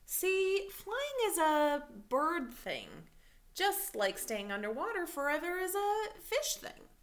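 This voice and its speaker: A woman voicing a patient frog father in a storybook, explaining things kindly but firmly and deliberately. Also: very high voice, wildly swooping pitch, pitched above the performer's own voice, full character voice. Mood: gently firm.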